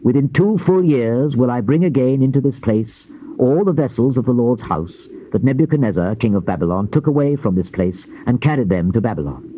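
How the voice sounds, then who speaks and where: A man's voice: mid-range voice, expressive, warm tone. Man solemnly reading scripture on muffled tape. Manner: measured, oratorical, emphatic. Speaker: scripture narrator. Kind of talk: dramatized Bible reading, solemn declamation. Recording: dull noisy old cassette tape.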